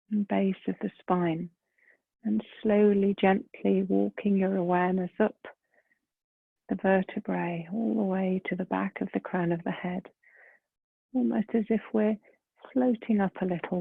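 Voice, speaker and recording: A woman leading a guided meditation in a gentle, soft, breathy voice, unhurried, with long pauses between phrases. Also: medium pitch, dry recording. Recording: muffled phone-like lo-fi sound.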